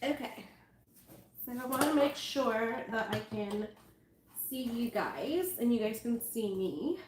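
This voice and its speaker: A woman's voice: on the high side, animated, takes it slowly. A woman with a stuffy, nasal voice hosting a casual live sewing tutorial, chatty and self-amused as she greets viewers. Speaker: sewing livestream host. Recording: echoey room with faint noise.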